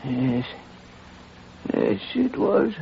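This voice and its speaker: An ailing old man confessing on his deathbed in an old radio play. He speaks very slowly and gravely, with a creaky edge, heavy with remorse. The recording is muffled and hissy. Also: high-pitched, sing-song, exaggerated voice. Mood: haunted, insistent. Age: older. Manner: slow, confessional, weighty delivery. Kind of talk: 1940s radio drama acting.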